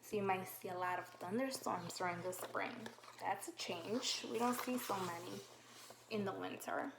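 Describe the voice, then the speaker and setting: A woman teacher reading a picture book aloud to young children, slow and encouraging, with drawn-out questions to the class. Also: medium pitch, vocal fry, background noise. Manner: slow, encouraging, exaggerated sing-song questioning. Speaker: kindergarten teacher. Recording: roomy echo.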